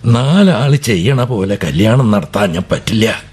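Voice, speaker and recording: A man's voice: lowish voice, lively intonation, unhurried, a little mellow, breathy edge. An actor playing a proud, stern elderly father in a radio drama, harsh and commanding, breaking into sobbing rage. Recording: old AM radio broadcast.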